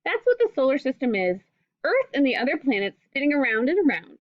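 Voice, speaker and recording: A woman's voice: high voice, brisk, no echo, lo-fi sound. A woman reading a children's book aloud expressively, with simple explanatory asides in the manner of a teacher. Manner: expressive, simple phrasing aimed at young children.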